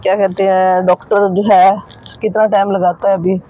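A woman's voice: mid-range voice, level tone, normal speed, dark tone, low-quality recording. A middle-aged woman vlogging casually from inside a car, talking straight to her viewers in a chatty way, filming on a phone held at arm's length. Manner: chatty, addresses viewers directly, repeats filler phrases. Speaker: family vlogger. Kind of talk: casual vlog narration. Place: inside a car in a busy market street. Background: faint traffic and vehicle noise.

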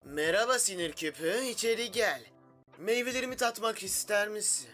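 A man's voice: high-pitched, expressive, moderate pace, sharp tone, faint music behind. A man voicing a teasing, lilting cartoon clone. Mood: flirty. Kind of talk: animated comedy dub in Turkish.

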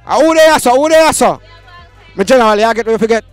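Hyped Jamaican man with a high voice. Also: animated, quick pace, clean tone, soft background music, some chatter behind. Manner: hyped, shouting slogans, Patois banter. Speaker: street market host. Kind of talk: roving market vox pop with a handheld mic. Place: outdoor street market. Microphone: handheld mic.

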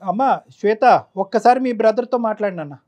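Middle-aged man, high-pitched, rapid talker, emphatic counsellor, repeats himself. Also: lively intonation. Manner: emphatic, forceful, repeats phrases. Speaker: counsellor doctor on the show. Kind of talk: emotional counselling talk. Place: studio.

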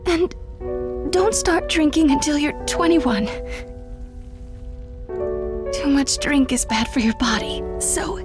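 An actress playing a tender, dying young mother in a video game, weak, breathy, tearful and halting. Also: high voice, lively intonation, music in the background. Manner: halting, gentle.

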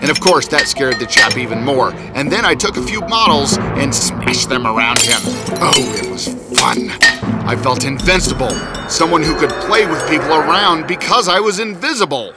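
A man's voice: fairly high pitch, animated, moderate pace, loud music behind the voice, close to an everyday voice. A man playing an arrogant invisible scientist in a cartoon, telling his own story in a theatrical, boastful, scornful way. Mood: gleeful, boastful. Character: arrogant invisible male scientist, gloating and restless. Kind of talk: dramatic first-person storytelling in a cartoon.

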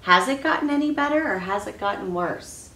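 A woman reads aloud for children as a school reading coach. She is expressive and stops to ask her young listeners questions. She sounds set back from the microphone in an echoey room, with faint noise behind her. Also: medium pitch, brisk. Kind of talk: children's picture book read-aloud. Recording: roomy, distant mic, faint background noise.

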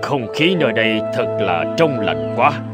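An actor playing an amiable middle-aged lord and poet, expressive and measured, in an animated folk tale. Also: male voice, mid-range voice, loud music behind the voice. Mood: contented.

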